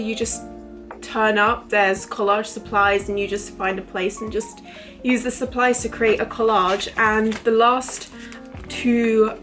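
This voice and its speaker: A woman in her twenties vlogging casually to camera, chatty and rambling, her voice a little croaky from illness. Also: medium pitch, fairly bright tone. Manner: chatty, rambling, lots of fillers. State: recovering from illness, voice a bit croaky. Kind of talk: casual talking-to-camera vlog.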